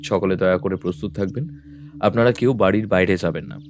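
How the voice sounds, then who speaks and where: Actor as male private detective, lowish voice, measured, formal, thoughtful, authoritative, audio drama, faint background noise. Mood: composed, instructing.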